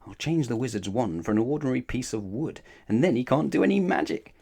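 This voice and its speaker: Man playing an excitable little mischief-maker, wildly swooping pitch. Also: fairly quick, gravelly, breathy edge. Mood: sly. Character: small, giggling, mischievous male prankster.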